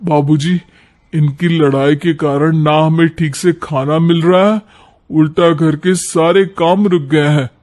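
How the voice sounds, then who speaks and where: A man's voice: on the high side, fairly even intonation, a little slow, a little mellow, natural voice. A man voicing an exasperated young married son in a family cartoon, earnest and complaining.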